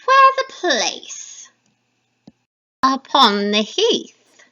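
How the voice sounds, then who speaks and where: A woman voicing wicked old witches in a dramatic Shakespeare reading, chanting in slow, drawn-out lines. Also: high voice, huge pitch swings, highs cut off, pitched above the performer's own voice, heavily acted. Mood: ominous. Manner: slow, loud, drawn-out incantation.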